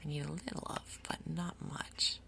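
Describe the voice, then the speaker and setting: A woman doing soft-spoken ASMR game commentary in a breathy half-whisper, with a casual, rambling, unhurried manner. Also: sits low, steady tone, background noise. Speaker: gaming video host.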